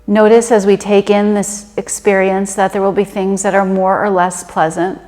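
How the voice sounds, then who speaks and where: A woman leading a guided meditation in a gentle, unhurried and conversational manner. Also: mid-range voice, level tone. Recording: reverberant room with long echo.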